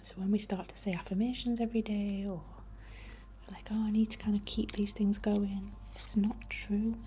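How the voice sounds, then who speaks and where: A British woman whispering in a breathy, soothing, reassuring way, as an ASMR host leading a healing ritual. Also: medium pitch, level tone, moderate pace, mellow. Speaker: ASMR host, spiritual healer.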